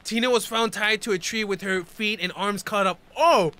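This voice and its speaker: A man's voice: high-pitched, normal speed, sharp tone, smooth. A man in his twenties reacting casually to a video, reading text aloud and commenting in informal, slangy speech.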